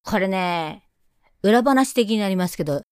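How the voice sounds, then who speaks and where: A woman's voice: mid-range voice, lively intonation, measured pace, darkish tone, smooth, dry recording, clean studio sound. A woman hosting a solo radio show, chatting casually in a playful, self-deprecating way. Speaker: radio show host and scriptwriter.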